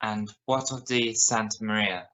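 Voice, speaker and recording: Man playing a low-voiced, grave news interviewer. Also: steady tone, sharp tone, lo-fi sound. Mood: grave questioning. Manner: formal, measured. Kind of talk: amateur radio play acting as newscast.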